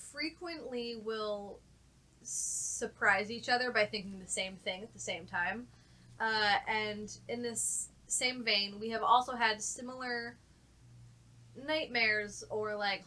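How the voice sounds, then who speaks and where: A woman's voice: mid-range voice, sharp tone, poor audio. A woman chatting casually and telling personal stories in a frank, sweary way. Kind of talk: casual chat and personal storytelling.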